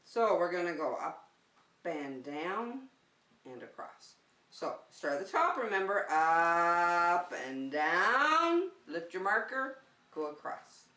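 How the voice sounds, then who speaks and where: A woman with a bright, forceful voice leads a preschool lesson in short, simple, encouraging phrases. Her pitch swings in a lively way and she takes her time. She is recorded at some distance in an echoey room. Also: takes it slowly. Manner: simple, encouraging instruction addressed to young children. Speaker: preschool teacher hosting circle time. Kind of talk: children's lesson with counting and simple songs.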